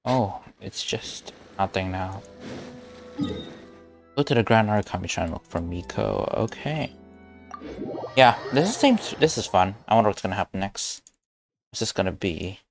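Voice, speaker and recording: A man's voice: lowish voice, lively intonation, a little slow, gravelly, long pauses, faint music behind. A man streaming a game, giving casual, muttered short reactions and commentary with gamer slang.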